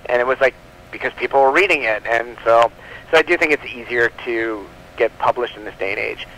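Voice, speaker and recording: Guy guesting on a radio chat, sharp bright voice, chatty and rambly, kinda normal pitch. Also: a little fast, a little hiss or hum, rough recording. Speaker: guest, comic book publisher. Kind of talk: casual radio interview.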